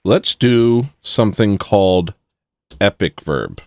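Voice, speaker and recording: A man with a rough voice, chatting casually and rambling self-deprecatingly while making music on a stream. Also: on the low side, animated, slow pace, background noise.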